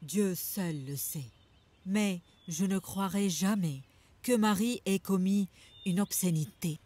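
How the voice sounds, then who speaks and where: A woman playing a loyal, devout townswoman in an animated religious drama, earnest and firm. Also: medium pitch, slow. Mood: firm, defensive.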